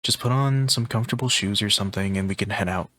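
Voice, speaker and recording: A man playing a gentle, affectionate partner in a soft-spoken ASMR roleplay, tender and intimate, pausing often. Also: on the low side, dry recording, natural voice. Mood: playful, affectionate. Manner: tender, unhurried, intimate, with pauses. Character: gentle, affectionate, teasing male partner offering comfort.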